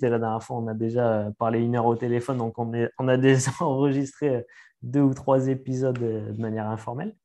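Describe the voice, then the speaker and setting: A man's voice: medium pitch, warm tone. A French man hosting an interview podcast, friendly and a bit hesitant, often repeating words. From France. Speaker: podcast host. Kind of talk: casual interview talk.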